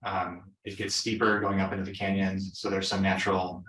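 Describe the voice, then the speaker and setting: A man's voice: low voice, monotone, fairly quick, fairly bright tone, echoey room, low-quality recording. A man giving a conference presentation as an engineering consultant, explaining plainly with fillers in a conference room.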